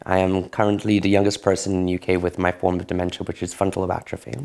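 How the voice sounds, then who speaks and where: A man speaks in a slightly low register. He talks candidly about his own experience in an unhurried, reflective way, like in an interview testimony. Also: completely flat delivery, loud music behind the voice. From Barbados. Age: late 30s.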